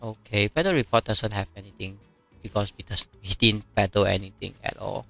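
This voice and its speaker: A man whose first language is Chinese, streaming a strategy game and chatting casually, with many fillers and pauses as he reads viewer comments. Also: on the low side, fairly even intonation, vocal fry, a bit bright, faint music behind. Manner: halting, many fillers, reads chat aloud.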